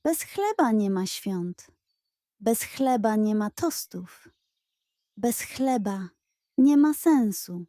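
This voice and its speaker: A woman's voice: fairly high pitch, expressive, takes it slowly, dark tone, dry recording, clean studio sound. A woman voicing a pompous, theatrical male pug who gushes grandly about food in a children's bedtime story. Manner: grandiose, proclaiming, effusive.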